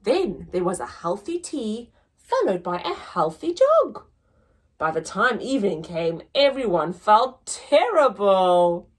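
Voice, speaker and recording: A woman's voice: mid-range voice, sing-song, takes it slowly. A woman reading a children's story aloud as a story-time teacher, dramatic and addressed to young listeners. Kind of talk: expressive children's read-aloud.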